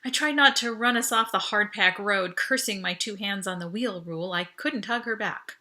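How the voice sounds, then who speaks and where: A woman reading an audiobook aloud in expressive first-person story narration. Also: medium pitch, normal speed.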